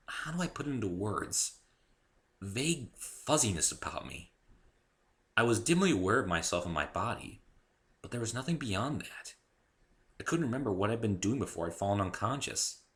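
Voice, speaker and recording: A man's voice: low voice, sharp tone. A man giving a dramatic first-person reading of his own story, theatrical and emphatic.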